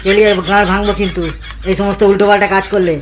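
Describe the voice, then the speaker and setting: A woman's voice: medium pitch, flat delivery, very fast, noisy background, natural voice. A woman voicing a rowdy, foul-mouthed young village man in a cartoon comedy, who swears and bosses his friends around. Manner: brash, swearing, bossy with friends.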